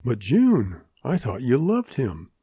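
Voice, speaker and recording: A man's voice: mid-range voice, wildly swooping pitch, takes it slowly, mellow, slightly rough, no echo, full character voice. A man voicing a laconic, modest and stubborn young cowboy in an audiobook western. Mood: firm.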